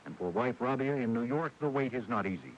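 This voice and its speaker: A man's voice: medium pitch, lively intonation, a little fast. An American man reading a scripted network radio news bulletin as anchor. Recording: dull, hissy 1980s radio broadcast recording.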